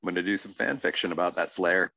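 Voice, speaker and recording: A man's voice: low voice, fast talker, vocal fry, pushed and bright, no echo. A man podcast host giving casual, exclamatory reactions to a TV show, often repeating himself for emphasis.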